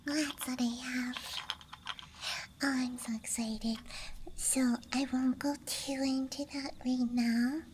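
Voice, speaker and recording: A woman whispering softly and breathily in a rambling, hesitant ASMR show-and-tell as a friendly dice goblin, close to the microphone. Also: high-pitched, fairly even intonation, slow pace, noisy background. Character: friendly dice goblin hoarding her treasures. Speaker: ASMR performer. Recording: close mic, audible ambient night sounds.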